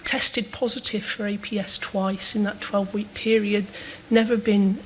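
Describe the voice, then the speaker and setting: A woman, asking a panel a halting, hesitant question from the audience. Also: mid-range voice, flat delivery, slight background noise, rough recording. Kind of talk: spontaneous question at a panel discussion.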